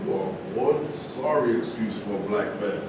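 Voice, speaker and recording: A man's voice: on the low side, animated, normal speed, natural voice. A man reading the part of a boastful, defensive former boxer in a staged play, recorded in a noisy, echoing room from a distance. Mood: defensive, bragging. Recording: echoey noisy room, far from mic.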